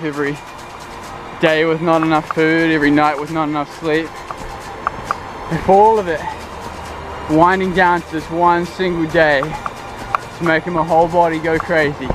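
A man's voice: fairly high pitch, a little slow, rough recording. A man in his twenties with a New Zealand accent, filming himself at arm's length on a forest trail and talking casually about his hike. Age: 20s. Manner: excited, breathless buzzing energy. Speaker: thru-hiker vlogger. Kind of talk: casual handheld trail vlog. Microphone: camera at arm's length. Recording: music playing underneath.

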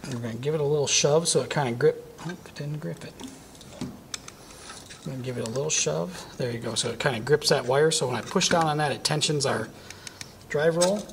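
A man's voice: on the high side, brisk, a little hiss or hum. A man in his thirties giving a casual hands-on welding equipment demonstration in a shop, talking as he works. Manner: casual, talks while working with his hands. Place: welding shop corner with curtain backdrop.

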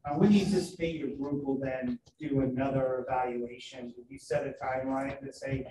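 A man on a school board asking halting, deliberate questions in a meeting. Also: mid-range voice, fairly even intonation, warm tone. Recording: roomy, distant mic with faint noise.

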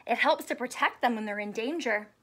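A woman in her thirties reading a children's book aloud in an expressive, teacherly way, asking questions and drawing out key words. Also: on the high side, a little fast, slightly rough. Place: small indoor room with couch and stuffed toys.

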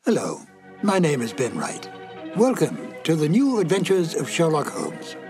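A man hosting and introducing a reissued old radio drama, in measured, explanatory scripted narration. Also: fairly high pitch, huge pitch swings, loud music behind the voice.

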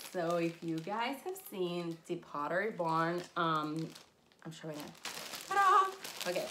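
A chatty young woman raised in Puerto Rico, Spanish her first language, talks through a haul of collectibles in a lively, casual way. Her voice sits a little low for a woman. Faint background noise and small clicks come through a camera mic set at desk distance. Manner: chatty and animated, squealing with excitement, frequent laughs. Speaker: collector vlogger. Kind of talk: casual haul video chat. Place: collectibles-filled room indoors.

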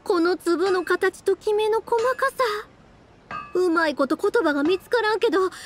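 An actress voicing a cheerful, outgoing young fireworks maker with a Kansai accent in a video game. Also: female voice, very high-pitched, normal speed, soft background music. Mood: admiring. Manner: chatty, friendly, folksy.

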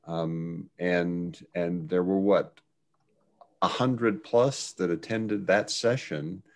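A middle-aged man making conversational remarks on a video call in a measured, emphatic way, repeating words as he goes. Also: low voice, a little mellow. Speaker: session participant adding comments.

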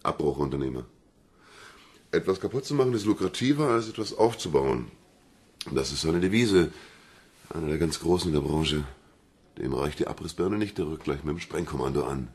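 An actor playing a dogged, wry police detective in a radio crime drama, with a deep gravelly voice. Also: male voice, animated, moderate pace, long pauses, darkish tone, heavily acted. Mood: wry, brooding.

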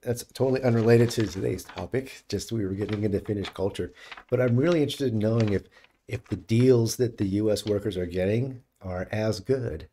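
A man's voice: fairly low pitch, vocal fry, warm tone, slightly rough. A middle-aged American man hosting his own channel, talking casually to the camera in a rambling, opinionated way and restarting sentences often, into a studio mic at home. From United States. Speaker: channel host. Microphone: studio condenser mic on stand.

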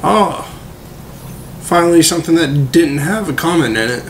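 A young man with a slightly hoarse voice, as if he is losing it, giving laid-back gameplay commentary with a mildly let-down tone. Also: fairly high pitch, lively intonation, moderate pace, a little hiss or hum. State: losing his voice. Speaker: gaming video creator.

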